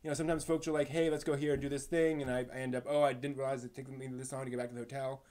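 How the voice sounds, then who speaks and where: Halting, casual male live stream host. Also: medium pitch, level tone, darkish tone. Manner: halting, rambling, stumbles over words. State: tired. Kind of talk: casual live stream chat, answering chat questions.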